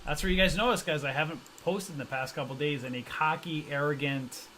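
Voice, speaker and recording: A man's voice: on the high side, brisk, pushed and bright, a little hiss or hum. A man hosting a stock trading livestream in casual, joking chat, reading out viewer comments and reacting loosely with slang.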